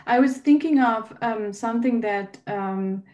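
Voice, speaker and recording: Austrian-born lady hosting an interview, lots of ums, thinks out loud. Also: fairly high pitch, a little slow, dull audio. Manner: hesitant, many ums and uhs. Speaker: interviewer, host. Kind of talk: conversational interview.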